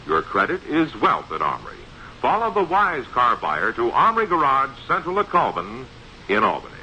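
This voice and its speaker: Man, radio ad announcer, forceful sharp voice, lively swings in pitch, emphatic sales read, dull vintage recording. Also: moderate pace. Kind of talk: scripted 1960s radio advertisement read. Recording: dull, faintly noisy 1960s radio recording.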